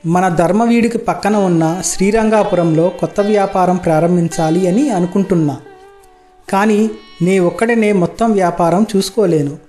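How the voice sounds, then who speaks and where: A man playing a gentle, honest merchant in an animated moral story, speaking earnestly and formally. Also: high-pitched, moderate pace, soft background music, close to an everyday voice. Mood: encouraging.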